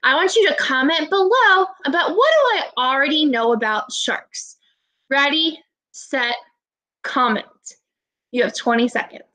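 A woman's voice: high voice, lively intonation, measured pace, sharp tone, rough recording. A woman in her twenties, an elementary teacher giving an encouraging, instructional read-aloud lesson to young children over a webcam. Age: 20s. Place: small indoor room at home. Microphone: webcam or laptop microphone, video call recording.

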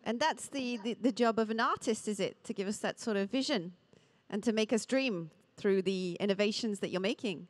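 A woman's voice: mid-range voice, brisk, no room sound. A woman in her forties hosting an on-stage interview, asking questions and encouraging with short affirmations. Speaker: event host, interviewer. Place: large industrial hall. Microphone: handheld mic.

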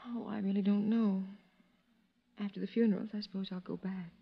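Vulnerable young radio-drama heroine, muffled. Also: female voice, medium pitch, fairly even intonation, brisk, vocal fry. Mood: restrained grief. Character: grieving young daughter of a racketeer, guarded and vulnerable. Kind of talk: 1950s radio drama acting. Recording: muffled old radio recording.

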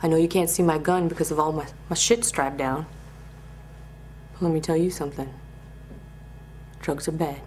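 A young woman playing a tough, rambling parole officer in a comedy monologue, menacing and halting. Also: low voice, steady tone, darkish tone, slight background noise, natural voice. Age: young adult.

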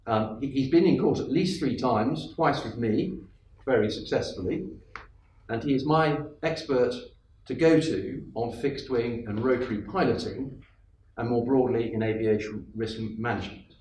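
Middle-aged male panel chair, measured and halting; roomy, distant sound with faint hiss. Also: medium pitch, a little mellow. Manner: measured, with frequent fillers and restarts. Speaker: panel moderator. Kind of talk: formal panel introductions. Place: panelled conference room. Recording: echoey room, distant mic, faint hiss.

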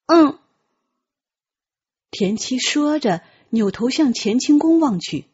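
A woman narrating a novel as an audiobook, with measured, expressive dramatic storytelling. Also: high voice, slightly rough, dull audio.

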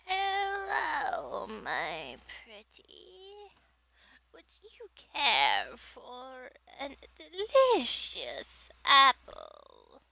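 A woman's voice: high-pitched, wildly swooping pitch, very slow, gravelly, bright, forceful tone, full character voice. A woman voicing a wicked old witch who wheedles with sinister sweetness, in a performed character piece. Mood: wheedling, sinister. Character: wicked old witch coaxing someone with an apple.

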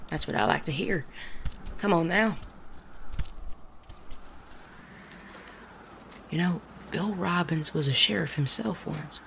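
Woman as a suave, creaky-voiced gentleman bandit. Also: fairly low pitch, moderate pace, a little hiss or hum. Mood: wry storytelling. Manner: articulate, persuasive. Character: suave, sardonic gentleman bandit of the Wild West. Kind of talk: western audio drama.

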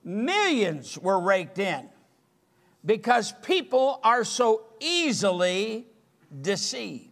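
A man's voice: sits high, big pitch swings, slow pace, a bit bright. An older man preaching a sermon from a church pulpit, speaking emphatically and gesturing as he goes. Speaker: pastor. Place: church sanctuary with empty pews.

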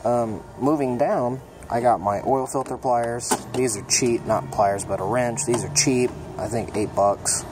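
A young mechanic casually showing his toolbox, talking in a relaxed, plainspoken way with plenty of ums and uhs. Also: mid-range voice, a little slow, few pauses, over music. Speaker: mechanic giving a toolbox tour. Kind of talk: casual show-and-tell vlog. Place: auto repair shop, indoors. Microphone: phone held in hand, filming first-person. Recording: shop noise with air conditioning hum.

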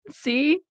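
A woman's voice: high voice, dark tone, dry recording, lo-fi sound. A woman chatting casually and bluntly on a group call, playful and unbothered.

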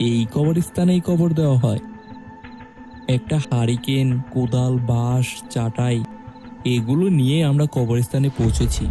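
A young man narrating a horror story in a steady, measured way. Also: medium pitch.

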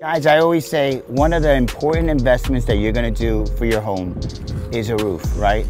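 A man's voice: medium pitch, steady tone, moderate pace. A man in his forties hosting a casual roofing vlog on site, upbeat and salesy, talking to viewers as "guys" while standing on a house roof. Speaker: roofing contractor and channel host. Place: outdoors on a house roof and front yard. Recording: background music under the voice.

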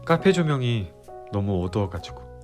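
An actor playing an earnest young boyfriend who is clumsy with words, speaking straight to his girlfriend and pleading with her softly. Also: on the low side, very animated, moderate pace, music in the background. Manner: pleading softly, then ranting in a rush. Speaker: boyfriend in a role-play drama. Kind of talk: listener-directed role-play drama.

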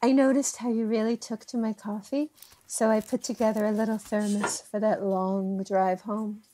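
A woman's voice: medium pitch, level tone, normal speed, dark tone, natural voice, low-quality recording. A woman playing a chatty, hospitable small-town host in an audio drama, effusive, folksy and coaxing. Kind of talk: naturalistic audio drama acting.

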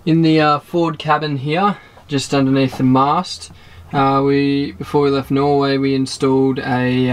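A man in his thirties hosting a casual sailboat tour, explaining things in a relaxed way with plenty of fillers, recorded outdoors on deck. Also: medium pitch, smooth. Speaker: sailing vlog host. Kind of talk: casual boat tour walkthrough.

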